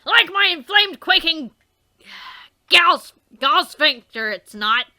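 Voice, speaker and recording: Woman voicing a furious troll boy, loud and high, ranting and overwrought, game read-through. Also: very animated, takes it slowly, full character voice. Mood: scornful. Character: furious shouting male troll youth.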